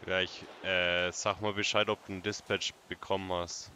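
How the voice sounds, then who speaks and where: A man's voice: low voice, flat delivery, moderate pace, bright, forceful tone. A man chatting casually and crudely while playing a roleplay game. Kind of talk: casual gaming chat with banter. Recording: very noisy game recording.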